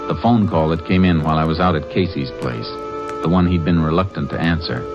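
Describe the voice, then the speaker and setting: An actor playing a calm, firm male Texas Ranger who narrates in steady hardboiled fashion, in an old radio drama. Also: extremely low pitch, a little fast, music in the background. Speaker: narrator and lead. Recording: dull old radio broadcast.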